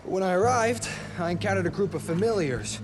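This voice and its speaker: A man's voice: sits high, animated, brisk, noisy background. An actor playing an eccentric, overly polite male monster scholar who talks in a wordy, florid way. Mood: eager. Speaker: researcher. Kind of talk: game cutscene acting. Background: music score.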